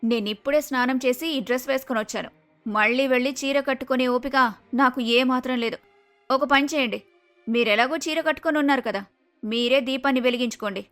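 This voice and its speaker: A woman playing an arrogant, lazy young daughter-in-law who talks back curtly in an animated moral story. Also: high voice, fast, faint music behind. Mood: defiant. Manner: curt, dismissive, argumentative.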